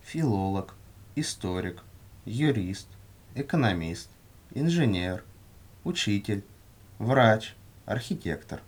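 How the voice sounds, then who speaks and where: A man reading scripted language-course answers in a measured, carefully enunciated way. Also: fairly low pitch, lively intonation, husky. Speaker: language lesson reader.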